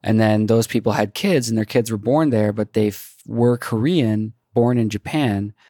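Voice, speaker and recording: A man's voice: on the low side, moderate pace, mellow, no room sound, clean studio sound. A man hosting a casual chat, talkative and eager to recommend things.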